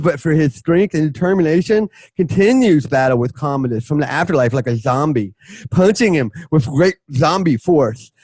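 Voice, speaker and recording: A man's voice: a little high, a little mellow, no room sound. A man narrating a radio play script in a loose, comic way, dropping wry, casual asides.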